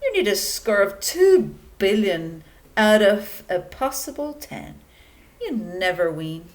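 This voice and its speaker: A woman's voice: medium pitch, big pitch swings, slow. A woman voicing a smug, needling male sports announcer in a children's picture book read-aloud, pointed and taunting. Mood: spluttering, scornful.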